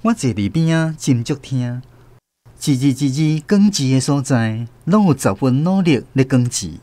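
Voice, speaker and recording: An actor with a Taiwanese accent playing a wry first-person storyteller in a radio drama, narrating expressively. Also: male voice, mid-range voice, expressive, a little slow, warm tone, no room sound, lightly acted. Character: wry male storyteller observing a mother, returned from abroad.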